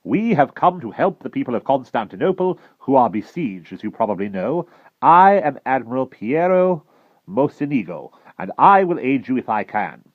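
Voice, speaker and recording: A sly American man bluffing his way through as an admiral, as the male narrator puts him on. He sounds smooth and knowing, raised in pitch above the reader's natural voice. The recording is dry and slightly dull. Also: sing-song, moderate pace, no room sound. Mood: grand. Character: sly middle-aged man posing as admiral.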